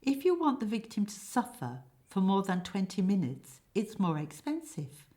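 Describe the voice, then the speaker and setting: A woman's voice: mid-range voice, very animated, normal speed, dark tone, pitched above the performer's own voice, natural voice. A woman voicing a brash hired killer in a comic stage play, punching key words with a salesman's delivery. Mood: businesslike, salesy.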